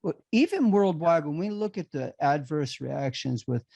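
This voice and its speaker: A man's voice: fairly high pitch, expressive, moderate pace, creaky, mellow, no echo, highs cut off. A man hosting a podcast interview, talking earnestly and emphatically in a casual conversational way. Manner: earnest, emphatic, builds to indignation. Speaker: podcast host.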